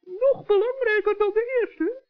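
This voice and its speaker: Plucky little male gnome, extremely high, chatty, emphatic. Netherlands Dutch, vintage children's radio, dull recording. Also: unhurried, faint music behind. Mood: curious, eager. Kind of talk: 1960s children's radio play acting.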